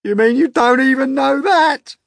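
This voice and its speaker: A man voicing a rude, scornful centipede who mocks loudly. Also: very high-pitched, moderate pace, vocal fry, no echo, clean studio sound, pitched above the performer's own voice. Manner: mocking, loud, rambunctious.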